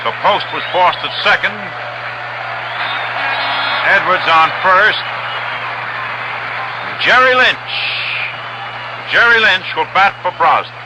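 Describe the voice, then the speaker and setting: Male American baseball play-by-play man, 1960s radio, slightly high voice, measured short phrases, heavy background noise. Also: animated, a loud crowd. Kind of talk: 1960s radio sports commentary. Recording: noisy old 1961 radio ballpark broadcast. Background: loud stadium ambience and noise.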